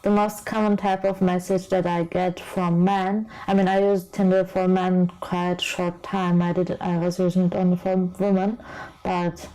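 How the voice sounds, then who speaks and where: A woman's voice: fairly low pitch, flat delivery, normal speed, mellow, poor audio. A woman in her twenties from Turkey, an exchange student, answering interview questions plainly. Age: 20s.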